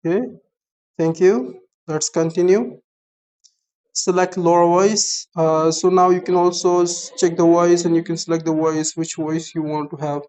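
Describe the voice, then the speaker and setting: Flat-voiced young Pakistani man. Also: a little high. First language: Urdu. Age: young adult. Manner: halting, explanatory, starts many phrases with "so". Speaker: app reviewer. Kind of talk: screen-recorded app tutorial.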